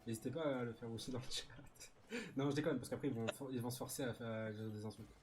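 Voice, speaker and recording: A man's voice: mid-range voice, steady tone, fast talker. A man streaming a game, chatting and joking as he explains things to his viewers. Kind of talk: casual gaming stream chat. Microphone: studio microphone. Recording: music behind voice.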